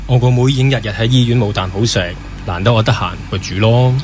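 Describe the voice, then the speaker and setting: A man's voice: lowish voice, fast, darkish tone, slight background noise, lightly acted. A young man with a Hong Kong Cantonese accent playing a caring, wry older brother in a naturalistic radio drama.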